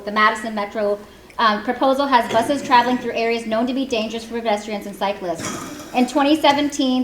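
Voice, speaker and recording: A woman, giving a prepared public comment in a loud, earnest voice. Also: fairly high pitch. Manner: fast, earnest, reads prepared remarks. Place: community meeting hall. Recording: echoey hall, faint room noise.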